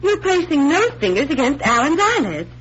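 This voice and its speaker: Pert skeptical sidekick gal, 1940s radio drama, bouncy intonation, muffled old sound. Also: female voice, sits high, very animated, normal speed, fairly bright tone. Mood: puzzled, curious. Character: skeptical, spirited female magician's friend. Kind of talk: 1940s radio mystery acting. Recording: muffled hissy vintage radio recording.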